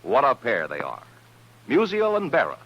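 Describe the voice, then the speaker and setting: A man's voice: mid-range voice, huge pitch swings, moderate pace, slightly rough. A man delivering a 1950s radio advertisement with an emphatic, polished sales delivery. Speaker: commercial announcer. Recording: dull vintage radio recording.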